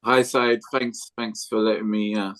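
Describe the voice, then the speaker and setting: A man from England introducing himself as a guest speaker on a video call, hesitant with many pauses. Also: on the low side, level tone, fairly bright tone.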